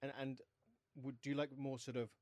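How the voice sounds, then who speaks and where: Man, medium pitch, podcast host, casual interviewing, dry close recording. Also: little pitch movement, fairly quick, a little mellow, no echo, lo-fi sound.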